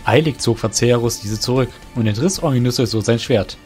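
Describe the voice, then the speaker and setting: A man tells a scripted fantasy tale as the narrator of an audio drama. His voice sits at a medium pitch, with literary phrasing. Soft music plays behind him. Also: moderate pace. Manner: literary storytelling.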